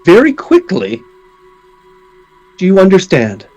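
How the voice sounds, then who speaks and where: A man playing a cold, commanding vampire enforcer in a tabletop game, giving clipped, calm orders. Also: high voice, takes it slowly, highs cut off, soft background music, pitched above the performer's own voice, heavily acted. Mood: menacing, controlled.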